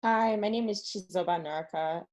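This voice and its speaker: A woman's voice: lowish voice, moderate pace, darkish tone. A woman from Nigeria speaking as a panelist in a casual way, with many "like" fillers. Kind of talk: panel discussion.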